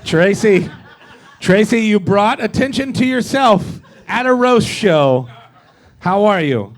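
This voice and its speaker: A man's voice: high-pitched, animated, slow pace. A man hosting a live comedy roast, bantering and teasing with people in the crowd in a joking manner. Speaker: comedy roast show host. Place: live comedy show with audience, on stage.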